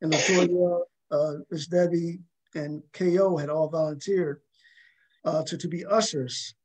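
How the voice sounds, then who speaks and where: A man telling anecdotes in casual conversation, halting and often repeating words as he goes. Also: a little high, fairly even intonation, a little mellow, breathy edge, low-quality recording.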